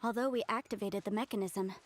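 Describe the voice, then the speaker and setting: An actress playing an earnest, thoughtful young woman investigating ruins, speaking deliberately in a video game. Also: medium pitch, fairly even intonation, natural voice. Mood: curious, explanatory.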